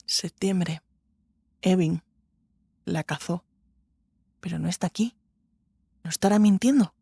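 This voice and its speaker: A woman playing a proud, sharp-tongued captive sorceress in an audiobook drama, with a scornful, biting manner. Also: on the low side, slow pace, gravelly, breathy edge, no echo, full character voice. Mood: tense, brooding.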